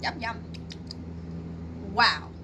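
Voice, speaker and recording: A woman's voice: drawn-out words, recorded from a distance, noisy background. A woman voicing a kindly, easygoing male schoolteacher from a storybook, speaking in short encouraging, emphatic phrases. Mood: amazed.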